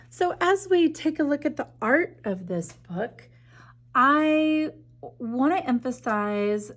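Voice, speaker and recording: A woman teacher reading a picture book aloud in a gentle, measured way, then chatting enthusiastically about its illustrations. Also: on the high side. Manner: gentle, measured reading with soft drops in volume; enthusiastic, chatty when discussing the art. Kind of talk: slow storybook read-aloud, then art discussion.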